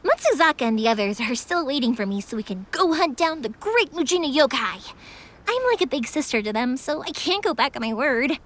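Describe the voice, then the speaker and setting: Young female game character, high voice, lively swooping intonation, cheerful, earnest, big-sisterly fireworks maker. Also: fairly quick, slight background noise. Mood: proud, friendly. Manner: expressive, earnest, friendly. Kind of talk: animated video game dialogue.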